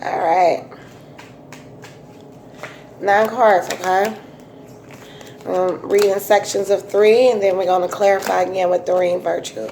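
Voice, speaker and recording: A woman with a raspy voice giving a casual, chatty tarot reading, full of fillers and restarts. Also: medium pitch, steady tone, a little slow, long pauses, a little mellow, a little hiss or hum. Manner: chatty, repetitive, many um fillers. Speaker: tarot reader.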